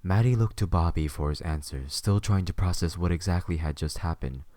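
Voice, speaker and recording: Male narrator, very deep, dark mellow tone, dry recording, scripted storytelling. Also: a little fast, dry recording.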